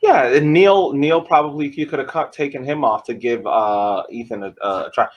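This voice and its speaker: A man co-hosting a podcast, laying out soccer analysis in measured, complete arguments. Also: mid-range voice, muffled recording. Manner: measured, argues in full sentences. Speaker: podcast co-host.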